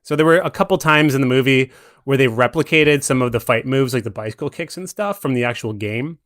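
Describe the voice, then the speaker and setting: A man with a mid-pitched voice gives casual, conversational commentary on movies for a podcast. The sound is dry, with very little room echo around his words. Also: brisk, fairly bright tone.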